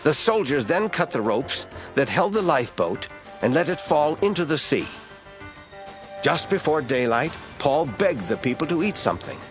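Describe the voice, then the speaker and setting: A man narrating an audio drama in a measured storytelling manner. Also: on the high side, very animated. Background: soft music score.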